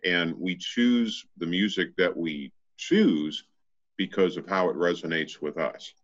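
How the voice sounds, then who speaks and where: Deep-voiced middle-aged man on muffled call. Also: lively intonation, unhurried. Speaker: interview guest, energy healer. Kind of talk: casual conversation. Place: small room at home. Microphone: video call, shared device at arm's length. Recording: dull, muffled video-call audio.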